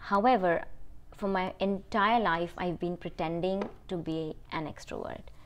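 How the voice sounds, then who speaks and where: Female vlogger, middle-aged, Indian accent, lowish pitch; candid personal delivery, halting, with emphatic repeats. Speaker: vlogger answering viewer questions.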